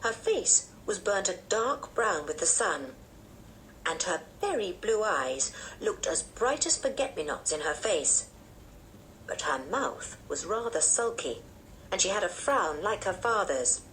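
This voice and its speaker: A woman narrating a children's book in an expressive storytelling manner. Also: medium pitch, measured pace, a little hiss or hum, low-quality recording. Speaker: audiobook narrator. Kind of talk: children's story read-aloud.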